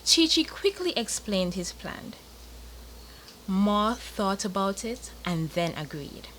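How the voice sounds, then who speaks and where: A woman's voice: mid-range voice, sing-song, takes it slowly, fairly bright tone, slight background noise, low-quality recording. A young woman from Montserrat with a Caribbean accent, narrating a children's bedtime story.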